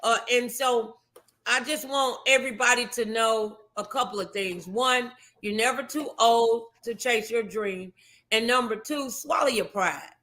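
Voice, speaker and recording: Bright, forceful American woman hosting. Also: on the high side, unhurried. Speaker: talk show host. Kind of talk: casual motivational talk show chat.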